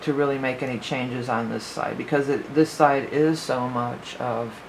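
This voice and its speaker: A woman's voice: extremely low pitch, steady tone, moderate pace, slight background noise, rough recording. A woman giving a casual spoken astrology forecast as a psychic reader, rambling and thinking aloud with many fillers, her voice a little worn.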